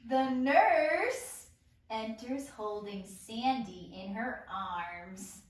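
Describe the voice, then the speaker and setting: A woman's voice: fairly high pitch, lively intonation, extremely slow. A woman narrating stage directions at an amateur table read. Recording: very echoey room, distant mic, faint noise.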